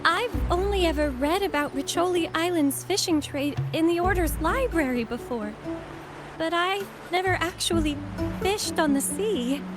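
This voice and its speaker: An actress playing a gentle, earnest, bookish young woman in a video game, speaking politely. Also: very high voice, expressive, moderate pace, music in the background. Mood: surprised, curious. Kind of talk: video game character acting.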